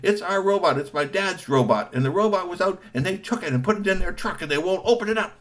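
A man's voice: extremely high pitch, moderate pace, fairly bright tone, voice pushed up. A man voicing an indignant young boy who insists and pleads. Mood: insistent. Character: indignant young boy demanding his robot.

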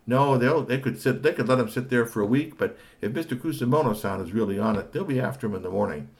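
A man's voice: mid-range voice, fast, pitched above the performer's own voice, natural voice. A man voicing an earnest male co-worker who talks in a chatty way about his colleagues. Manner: chatty, then calm and formal. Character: earnest male company worker, gossipy then respectful.

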